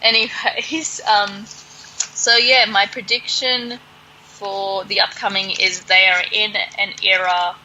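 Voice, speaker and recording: Female podcast co-host, informal and sardonic, crisp bright tone, leisurely delivery. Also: medium pitch, little pitch movement, slow, slight background noise, rough recording. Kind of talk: casual podcast chat.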